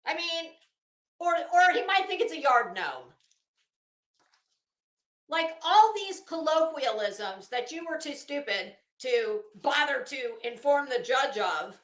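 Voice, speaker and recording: Middle-aged female commentator, higher-pitched, deliberate and halting, casual live style, echoey room sound. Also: very animated, fairly bright tone. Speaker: YouTube commentator and host. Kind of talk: casual live commentary with document read-outs.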